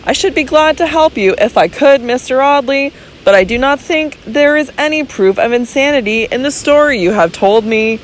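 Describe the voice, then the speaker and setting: Woman reading as a quiet, reasoning male doctor. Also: high-pitched, animated, moderate pace, few pauses, slight background noise, pitched above the performer's own voice, low-quality recording. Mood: measured. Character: calm, measured male physician, middle-aged. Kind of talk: audiobook character reading.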